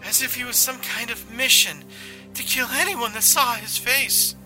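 A man's voice: extremely high pitch, moderate pace, soft background music, pitched above the performer's own voice, full character voice, low-quality recording. A man voicing a distraught woman who sobs as she tells a news reporter about a violent chase. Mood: sobbing. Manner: breathless, wavering, hushed. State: crying. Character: distraught sobbing woman witness, middle-aged.

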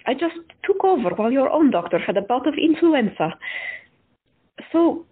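A woman playing a frank, unconventional woman doctor who talks bluntly and candidly with a slightly husky voice. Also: high-pitched, big pitch swings, moderate pace, exaggerated voice. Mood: teasing. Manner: blunt, candid, lightly teasing. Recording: tinny phone-like audio.

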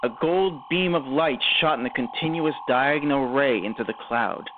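A man giving dramatic scripted narration for an amateur radio play, halting and repeating phrases after stumbles. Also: mid-range voice, noisy background. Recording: tinny, narrow phone-like sound.

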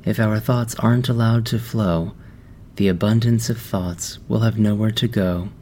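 A man narrating a guided meditation, giving gentle, soothing instructions with long pauses. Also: fairly low pitch, fairly even intonation, a little hiss or hum. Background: background music.

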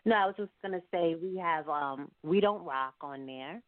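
A woman's voice: low voice, normal speed, no room sound. A woman talking casually and colloquially as an interviewed singer, with an encouraging, emphatic way of speaking. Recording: muffled phone-like line.

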